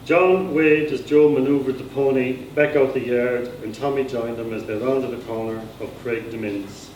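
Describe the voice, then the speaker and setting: A man's voice: mid-range voice, fairly even intonation, normal speed, a little mellow. Irish man reading, echoey and distant. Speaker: author reading own novel extract. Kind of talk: scripted narration, literary reading. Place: indoor reading at a society gathering. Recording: echoey room, distant mic, faint noise.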